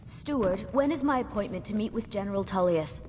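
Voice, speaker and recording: A woman's voice: lively intonation, brisk, a little mellow, natural voice. An actress playing an earnest, naive and entitled young noblewoman ruler in a fantasy game, speaking formally. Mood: indignant. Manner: formal, regal. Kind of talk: fantasy game character acting. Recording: reverberant hall, faint rumbling noise.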